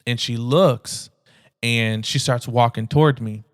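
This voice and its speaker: A man's voice: mid-range voice, unhurried, no echo, clean studio sound. A young man hosting a podcast, telling a personal story in an earnest, conversational way with many restarts. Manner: earnest, conversational, animated retelling with frequent restarts. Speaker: podcast host.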